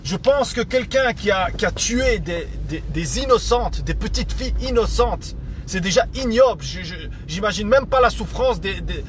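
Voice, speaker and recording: Belgian man, 30s, vlogger; higher voice, repeats himself, stumbles through an earnest rant. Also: animated, moderate pace, a little hiss or hum. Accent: Belgian French. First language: Italian heritage not stated as first language. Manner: earnest, stammers and repeats words, leans on fillers. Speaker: vlogger addressing viewers. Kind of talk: casual opinion rant to camera.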